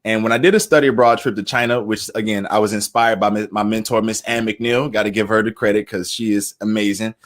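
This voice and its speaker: Man, thirties, American accent, interview guest, earnest, halting, repeats words, casual storytelling. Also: fairly low pitch, steady tone. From United States. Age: 30s.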